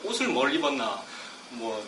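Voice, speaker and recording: A middle-aged man with a cold preaching a sermon, chatting casually between slow scripture readings. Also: mid-range voice, sing-song. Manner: conversational, self-deprecating asides between slow scripture reading. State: has a cold, coughing. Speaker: pastor. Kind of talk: expository sermon. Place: church sanctuary. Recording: roomy, distant mic with background noise.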